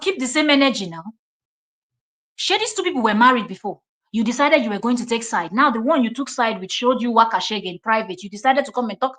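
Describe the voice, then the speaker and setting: A woman's voice: fairly high pitch, fairly bright tone. A woman with a Nigerian accent giving reaction commentary as host, confrontational and emphatic, repeating her points for stress.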